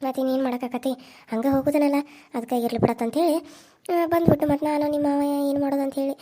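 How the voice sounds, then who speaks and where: A woman's voice: high voice, fairly even intonation, racing, dark tone, natural voice. A woman voicing a stern older village mother-in-law with a North Karnataka accent, talking in a bossy, scolding way. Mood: weary. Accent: North Karnataka Kannada.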